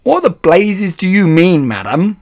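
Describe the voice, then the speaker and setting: A man's voice: high-pitched, big pitch swings, a little slow, voice pushed up, heavily acted. A man voicing an angry, blustering middle-aged English father in a storybook, rude and spluttering. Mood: furious, shouting. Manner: rude, shouting, spluttering, stammering when shocked.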